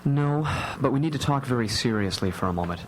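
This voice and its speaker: A wry, protective dad played by a man, speaking deliberately with dry humour. It is radio drama acting on a live recording with a faint hum behind it. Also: mid-range voice, animated, natural voice, poor audio. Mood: serious, protective. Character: wry, calm, protective father of three.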